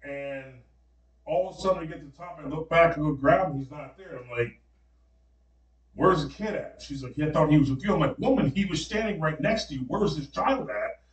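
Animated storyteller man, roomy room. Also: on the high side, a little fast. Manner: animated storyteller acting out quotes. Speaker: podcast co-host. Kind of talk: casual storytelling chat. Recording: roomy, slightly distant mic.

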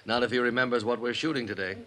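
An actor playing a weary, decent television producer in a 1950s radio drama, low-key and a little hesitant. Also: male voice, fairly even intonation, rapid-fire, sharp tone, close to an everyday voice. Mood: matter-of-fact.

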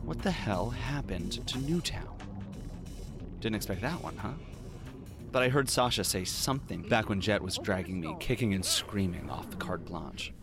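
A man's voice: lowish voice, lively intonation, normal speed. An actor playing a wry, world-weary private detective narrating his case in an audio drama. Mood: wry, brooding. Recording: guitar music under the voice. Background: plucked guitar music.

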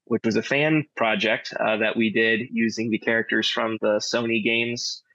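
A man's voice: lowish voice, steady tone, normal speed, pushed and bright, dry recording. A man chatting casually in an interview as a director, modest and understated, on a dull lo-fi recording. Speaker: audio drama director and sound designer. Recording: dry, dull lo-fi sound.

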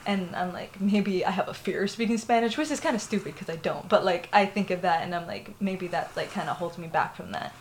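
A woman's voice: fairly low pitch, fairly quick, hardly any pauses, slight background noise. A young woman from Florida with a Puerto Rican family, telling a personal story in a candid, rambling way, full of fillers and laughs. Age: young adult. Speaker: interviewee.

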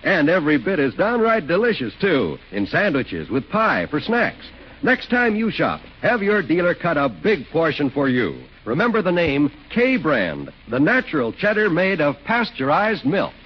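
A male announcer in 1940s radio style, folksy and emphatic. His voice is a little high and husky, with big melodic swings. The recording is muffled. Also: wildly swooping pitch, moderate pace.

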